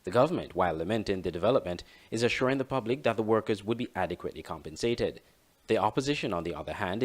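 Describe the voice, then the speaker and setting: A man reading scripted news narration as a broadcast anchor. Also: fairly low pitch. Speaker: news anchor and advertisement announcer.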